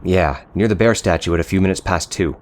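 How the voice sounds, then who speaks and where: A man playing a nervous, agitated high school boy in an audio drama, hesitantly reporting a crime. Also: quick pace, lightly acted. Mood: anxious, urgent.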